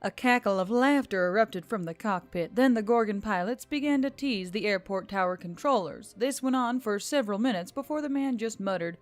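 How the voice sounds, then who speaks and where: A woman's voice: medium pitch, fairly quick, hardly any pauses, soft background music. A woman reading scripted fiction as an audiobook narrator.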